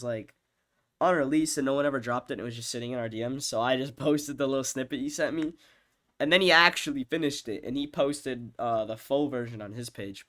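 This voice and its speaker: A congested young man with a nasal voice, his nose clearly stuffed up from being sick. He rambles casually in a mid-pitched voice, swearing and filling gaps with "um" and "like". He often lets his sentences fade into a mumble. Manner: rambling, profane, many fillers, trails off quietly. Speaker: music producer ranking his own songs. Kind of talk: rambling casual screen-share commentary.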